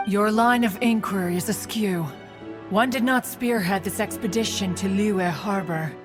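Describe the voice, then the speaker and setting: A woman's voice: medium pitch, moderate pace, close to an everyday voice. Haughty immortal female spirit, played by a woman. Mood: prickly. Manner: pompous, refers to self as "one". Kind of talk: English video game voice acting. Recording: music playing behind the voice.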